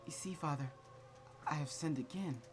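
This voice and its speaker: A man voicing a troubled young woman in a church, speaking softly and apologetically in a visual novel. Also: deep voice, slight background noise, close to an everyday voice. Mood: forlorn. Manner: soft, apologetic, halting.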